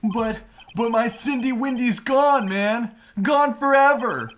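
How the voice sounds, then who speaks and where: A man's voice: extremely high pitch, takes it slowly, a bit bright, heavily acted. A man voicing a whiny, lovelorn young slacker with an overwrought, slangy delivery in a fan-dubbed game. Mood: wailing despair. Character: melodramatic, whiny young male slacker, lovelorn best friend. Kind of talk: fan-dub video game dialogue.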